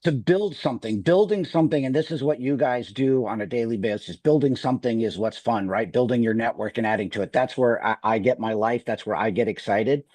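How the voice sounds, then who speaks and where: A man's voice: mid-range voice, a little fast, hardly any pauses, a little mellow, no echo. Middle-aged man with a Wisconsin accent, sales exec on a dry video call, rambling delivery. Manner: rambling, many restarts. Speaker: sales executive.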